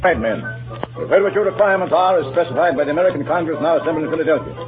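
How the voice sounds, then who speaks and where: An actor playing a brisk, stern Revolutionary War officer in a radio drama. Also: male voice, on the high side, close to an everyday voice. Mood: stern, commanding. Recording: muffled vintage radio broadcast, music behind.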